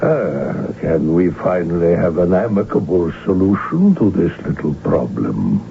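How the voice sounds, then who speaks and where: Casting: aged imperious monarch in radio drama, low and slow, theatrical indignation, gravelly. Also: male voice, sing-song, full character voice. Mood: dryly condescending. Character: imperious, indignant old male emperor. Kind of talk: 1970s radio drama acting. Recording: tinny, noisy old radio recording.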